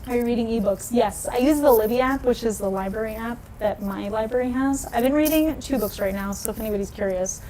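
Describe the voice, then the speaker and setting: A woman's voice: fast talker, few pauses, mellow, a little hiss or hum. A young woman chatting casually on a livestream, laughing often and answering viewer comments. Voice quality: low voice. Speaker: nail polish vlogger.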